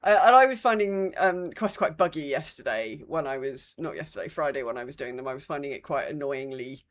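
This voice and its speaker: A woman's voice: rumbling low, expressive, brisk. A woman co-hosting a casual gaming chat podcast, griping bluntly in an exasperated, sweary way. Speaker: podcast co-host. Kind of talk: casual chat about a video game.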